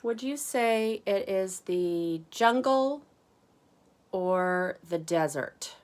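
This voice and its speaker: A woman teacher reading aloud to young children, chatting conversationally, explaining gently and asking them questions. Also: mid-range voice, animated, very slow. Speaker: kindergarten teacher.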